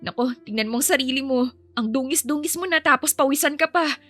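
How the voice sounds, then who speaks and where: A woman's voice: high voice, fairly quick, fairly bright tone, no echo, soft background music, pitched above the performer's own voice. A woman voicing a proud, polite young housemaid nanny in an audio romance, earnest and motherly. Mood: tender, soothing.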